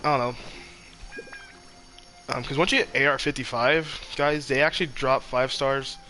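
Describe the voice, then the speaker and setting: Bright-voiced man streaming a game. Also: mid-range voice, fairly even intonation, moderate pace, soft background music. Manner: slangy, rambling. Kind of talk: casual gaming stream chat. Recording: background noise behind voice.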